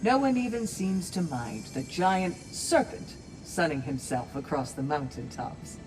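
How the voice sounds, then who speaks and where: Game role: dignified warrior woman, middle-low register, measured and formal, clear music underneath. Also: expressive, poor audio. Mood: calm hope. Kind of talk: video game dialogue.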